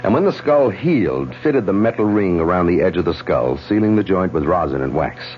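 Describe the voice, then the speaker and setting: A calm, measured surgeon. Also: male voice, low-pitched, slight background noise. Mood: explaining. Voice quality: measured, explaining tone. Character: Philip, a calm male surgeon-scientist. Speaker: actor in a radio drama. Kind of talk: 1970s radio drama acting. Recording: dull lo-fi old radio broadcast.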